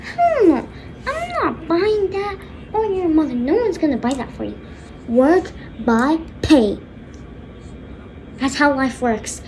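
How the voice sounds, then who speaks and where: A woman's voice: high-pitched, lively intonation, takes it slowly, long pauses, a little mellow, slight background noise, exaggerated voice. Girlish-voiced female doing a stern, scolding father. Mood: exasperated, lecturing. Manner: scolding, emphatic, blunt. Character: stern, angry father scolding his spoiled daughter. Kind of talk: homemade skit role-play.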